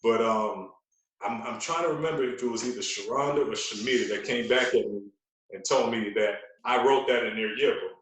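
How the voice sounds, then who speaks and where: A man's voice: mid-range voice, brisk, fairly bright tone, a little hiss or hum. Echoey American man telling stories casually. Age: 40s. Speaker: radio personality, podcast guest. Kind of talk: casual interview storytelling. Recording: very echoey, roomy sound.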